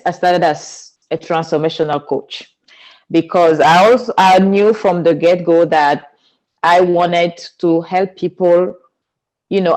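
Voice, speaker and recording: A woman's voice: lowish voice, a little mellow, rough recording. Woman from cameroon w/ accent, earnest guest, talks in long flowing runs, a little unusual phrasing. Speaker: guest, spiritual teacher. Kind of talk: podcast interview.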